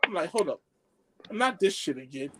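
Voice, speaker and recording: Casting: male podcast co-host, very high voice, swooping intonation, excitable street-slang storyteller who acts out both sides of conversations. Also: normal speed. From Haitian background. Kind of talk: animated comedic storytelling among friends.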